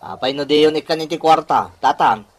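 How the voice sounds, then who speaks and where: A man's voice: fairly high pitch, normal speed, close to an everyday voice. A man playing a plain-spoken grown son in an Ilocano radio comedy drama, with an Ilocano accent. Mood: matter-of-fact.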